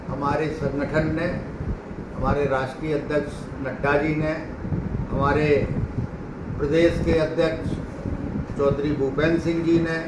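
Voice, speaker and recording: A man with a slightly high voice delivers a political press statement in deliberate, oratorical phrases. He is a little far from the mic in an echoey room. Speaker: political party leader. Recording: echoey, distant mic, clear background noise.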